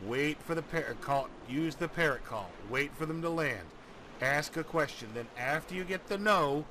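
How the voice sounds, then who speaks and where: A man's voice: on the high side, moderate pace, background noise. A man giving casual let's play commentary, rambling as he thinks aloud. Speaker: game player commentator.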